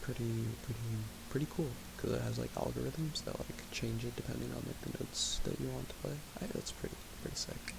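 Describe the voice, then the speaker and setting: A young man talking quietly in a raspy voice, rambling with fillers as he thinks aloud while making music. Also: mid-range voice, fairly even intonation, brisk, few pauses. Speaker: music producer streaming. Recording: home recording with audible hiss.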